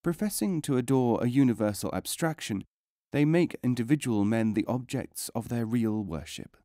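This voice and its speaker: A man reading non-fiction as a scripted audiobook narration, in a measured, formal way with careful enunciation. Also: mid-range voice, expressive, warm tone, dry recording, clean studio sound.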